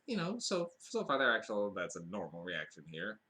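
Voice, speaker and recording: A man with a fairly low voice that still sounds bright and forceful. His pitch swings a lot as he talks, and he rambles through a casual film review. He restarts his sentences often and drops in dry, wry asides. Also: huge pitch swings, normal speed. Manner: rambling, with frequent fillers and restarts. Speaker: podcast host reviewing a film.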